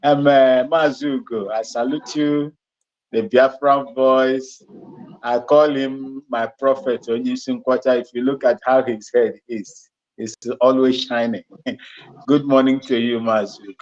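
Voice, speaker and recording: Male guest on a live call, mid-pitched, hesitant with frequent restarts; dull lo-fi line. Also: steady tone, a little mellow. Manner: halting, repeats words and restarts, laughs between phrases. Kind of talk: casual live call-in talk. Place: Ghana.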